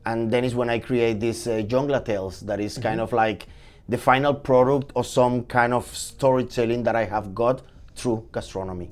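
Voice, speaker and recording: Animated Venezuelan-accented man telling stories. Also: normal speed. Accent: Venezuelan Spanish. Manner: animated, energetic storytelling with swings in pitch. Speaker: chef guest. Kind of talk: casual podcast interview.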